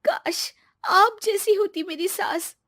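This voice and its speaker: Woman playing a high, plaintive young daughter-in-law. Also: slow pace, pushed and bright, no room sound, studio quality, full character voice. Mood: plaintive, flattering. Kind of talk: animated family comedy acting.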